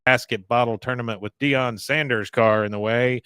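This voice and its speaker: A man voicing a paranoid, cowardly and excitable neighbor from a cartoon. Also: medium pitch, moderate pace, clear, resonant tone, dry recording, studio quality, lightly acted. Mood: conspiratorial.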